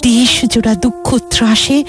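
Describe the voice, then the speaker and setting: A woman playing a sorrowful, wounded motherland in a Bengali audio drama, speaking theatrically and emotively with dramatic pauses. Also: fairly high pitch, fairly even intonation, natural voice. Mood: sorrowful, proud. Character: Mother India, sorrowful wounded motherland recounting her past. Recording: dramatic music behind the voice.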